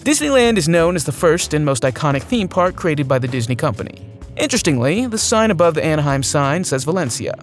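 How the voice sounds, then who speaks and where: Higher-pitched man narrating over music. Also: big pitch swings, fairly quick. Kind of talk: scripted video narration. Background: background music.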